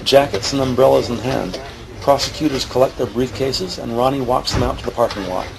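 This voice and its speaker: A man's voice: medium pitch, a little fast, gravelly, background noise. A man narrating scenes of an audio drama from a script in a formal, descriptive way.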